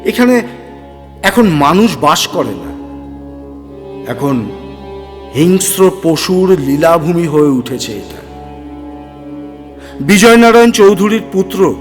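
A man narrating a scripted audio story in a measured, theatrical and literary manner. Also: fairly high pitch. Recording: long reverb, music bed.

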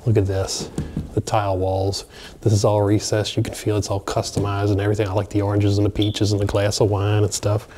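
A man excitedly narrating a walkthrough of an empty mansion, chatting to viewers as he films. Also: on the low side, brisk, slight background noise. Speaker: abandoned places explorer. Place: large empty mansion, indoors.

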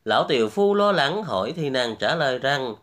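A man's voice: mid-range voice, sing-song, normal speed, a bit bright. Guy reading out a fairy tale, mid voice, lots of up and down in it. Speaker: storyteller, host. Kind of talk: scripted fairy-tale narration.

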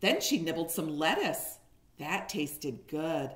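Woman reading a storybook to kindergartners, takes it real slow, expressive teacher delivery, bit echoey room on a webcam. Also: low voice. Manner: expressive storytelling with questions to young listeners. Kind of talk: picture-book read-aloud for young children. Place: living room at home, indoors. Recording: roomy echo on a home webcam.

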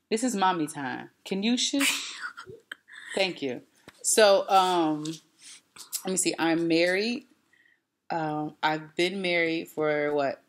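A woman's voice: lowish voice, slight background noise. Chatty woman on a webcam. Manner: chatty, rambling, lots of fillers. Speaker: vlogger and boutique owner. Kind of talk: casual home vlog chat. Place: living room at home, indoors. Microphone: laptop webcam at close range.

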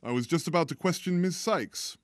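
A man's voice: fairly high pitch, fairly quick, no echo, clean studio sound, natural voice. A man voicing an exuberant, self-important police detective in a theatrical, hearty way for a game fan dub. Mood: businesslike.

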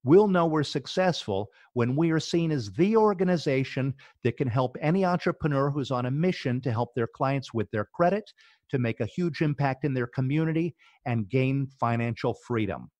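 A man's voice: medium pitch, lively intonation, moderate pace, darkish tone, dry recording. Eager man with a dry close sound. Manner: eager, restarts words often. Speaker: podcast guest, business founder. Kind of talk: casual interview talk.

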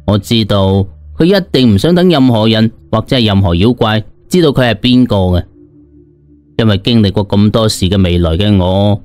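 Expressive male storyteller over music. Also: medium pitch. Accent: Cantonese. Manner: expressive, slangy, dramatizes dialogue. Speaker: audiobook storyteller. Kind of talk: colloquial scripted story narration, first person. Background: background music.

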